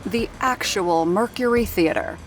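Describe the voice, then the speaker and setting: An actress voicing a wry, sharp-tongued woman who does radio sound effects in a 1930s theater, narrating in character in an audio drama. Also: mid-range voice, measured pace, faint music behind, close to an everyday voice, poor audio. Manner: wry, sardonic.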